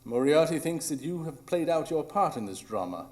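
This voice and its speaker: A man's voice: on the high side, expressive, moderate pace, darkish tone, close to an everyday voice. A man playing a brilliant, coolly analytical detective with a formal, precise manner in a live radio drama. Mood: coolly deductive. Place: small improv theatre stage.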